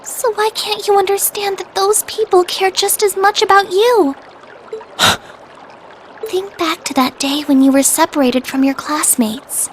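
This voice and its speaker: An actress voicing a caring, polite teenage younger sister in a video game, with an earnest, proper way of speaking. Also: female voice, very high-pitched, steady tone, a little slow, a little hiss or hum. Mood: gentle, insistent. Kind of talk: video game cutscene voice acting. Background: trickling water ambience.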